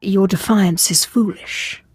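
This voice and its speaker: An actress playing a cool, commanding Sith acolyte interrogating someone in a video game, controlled, clipped and authoritative. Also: female voice, mid-range voice, steady tone, slow, a little mellow, husky, dry recording, clean studio sound. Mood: menacing.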